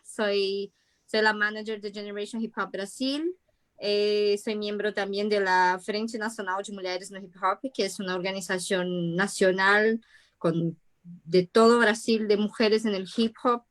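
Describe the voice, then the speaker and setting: Brazilian woman talking spanish on a panel, chill, lots of ehs and little laughs. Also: medium pitch. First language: Portuguese. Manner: relaxed, laughs easily, many fillers. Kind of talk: casual panel talk.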